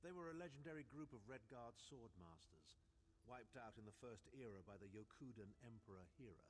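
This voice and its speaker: A man's voice: mid-range voice, expressive, a little fast, noisy background, lightly acted. An actor playing a haughty, sardonic swordsman and politician in a video game, speaking in a wry, pompous, formal way. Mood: wry, smug. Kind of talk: video game character dialogue.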